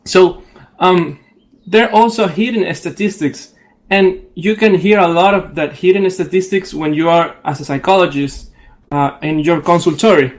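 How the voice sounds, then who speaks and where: A man's voice: sits high, normal speed. A man in his twenties with an Ecuadorian accent, a native Spanish speaker, talking casually as a radio guest. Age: 20s. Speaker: psychology professional guest. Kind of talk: casual radio discussion in non-native English.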